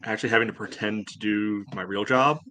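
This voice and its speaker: A man's voice: fairly low pitch, fairly even intonation, a little fast, background noise. A man bantering casually as a podcast panelist, blunt and mock-indignant.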